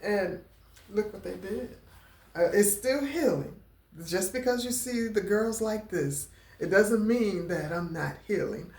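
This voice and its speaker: A woman vlogger who talks slowly and haltingly, with a stutter and many fillers, and gets emotional at times. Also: medium pitch, expressive, a little mellow, echoey room. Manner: emotional, near tears at times. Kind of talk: personal talking-head vlog.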